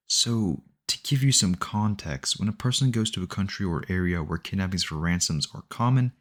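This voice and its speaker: Man acting a low-voiced, doting obsessive boyfriend. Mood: patiently explaining. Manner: doting, rambling, earnest self-justifying, close and conversational. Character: obsessive, possessive yandere boyfriend, sweetly cheerful kidnapper. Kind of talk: ASMR audio roleplay addressed to the listener.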